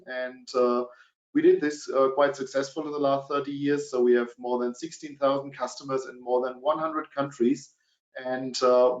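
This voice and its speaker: German-accented man hosting a webinar. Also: medium pitch. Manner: hesitant, many fillers. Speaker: webinar presenter. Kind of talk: presenting a business webinar. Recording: dull, roomy webinar audio.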